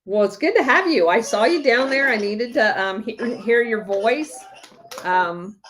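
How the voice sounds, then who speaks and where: A woman hosting a casual chat, eager and encouraging, filling pauses with many ums. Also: fairly high pitch, lively intonation, fairly bright tone. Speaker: podcast host.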